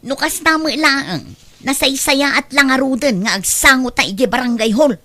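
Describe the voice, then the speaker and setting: An actress playing a firm, persistent creditor in an Ilocano radio drama. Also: female voice, sits high, normal speed, close to an everyday voice. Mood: insistent. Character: firm, persistent woman creditor collecting a debt.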